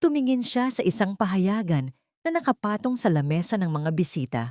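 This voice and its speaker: A woman with a Filipino accent narrating a scripted dramatic story in solemn, measured phrases. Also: medium pitch, expressive, darkish tone, no echo, clean studio sound.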